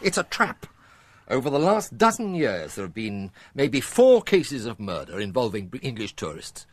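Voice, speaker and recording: An actor playing a cautious senior French police officer in a radio drama, wry and authoritative. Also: male voice, mid-range voice, huge pitch swings, dry recording. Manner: sardonic, measured authority. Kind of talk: naturalistic radio drama acting.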